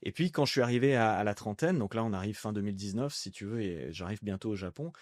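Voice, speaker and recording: A man's voice: on the low side, expressive, fast, a little mellow, no room sound, studio quality. A man in his thirties from France, a guest on a podcast, talking casually and rambling with lots of fillers and restarts. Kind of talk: casual conversational interview.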